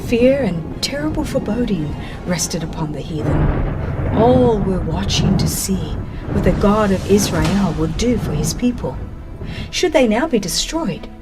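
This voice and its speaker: A woman reading scripted religious narration in a solemn, measured and expressive manner. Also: medium pitch. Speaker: audiobook narrator. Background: background music.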